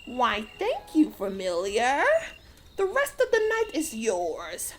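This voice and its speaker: A woman playing a solitary witch in a dark fairy tale audiobook, theatrical and drawn out, scolding herself and fond of her familiar. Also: fairly high pitch, wildly swooping pitch, slow pace, full character voice. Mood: gracious, eerie.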